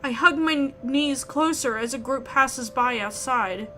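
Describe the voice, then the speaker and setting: A woman reading a story aloud in an expressive, theatrical way. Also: high voice, a little slow, a little hiss or hum. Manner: theatrical, emotive. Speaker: video game player reading a visual novel aloud. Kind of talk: expressive dramatic read-aloud narration. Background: background music.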